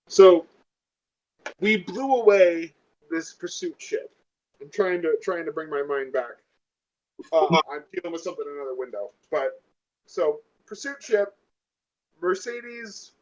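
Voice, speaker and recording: A man's voice: a little high, a bit bright. A man talking casually at a tabletop role-playing game, rambling a bit and hesitating, recorded on a lo-fi microphone. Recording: dull, lo-fi microphone sound.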